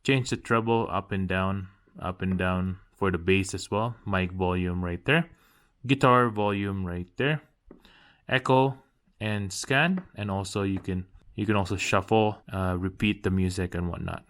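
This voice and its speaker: A man's voice: low-pitched, a bit bright. A man narrating a casual hands-on product demo, pointing things out piece by piece in short phrases. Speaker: product reviewer.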